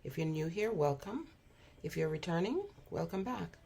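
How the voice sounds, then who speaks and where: A hoarse woman with a cold, giving a spiritual tarot reading in an unhurried, musing way with frequent pauses and fillers. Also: lowish voice, lively intonation, warm tone. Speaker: tarot reader.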